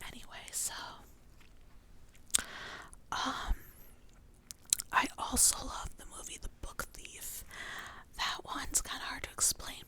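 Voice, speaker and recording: Young woman in a breathy whisper. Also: high voice, bright, forceful tone. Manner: rambling, informal, chatty. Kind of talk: whispered ASMR ramble about favorite movies.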